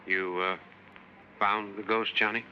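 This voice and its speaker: A man's voice: lowish voice, level tone, measured pace, natural voice. An American man playing a wary small-town fellow feigning innocence, in 1950s radio drama style. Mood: uneasy. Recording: muffled old radio recording, faint noise.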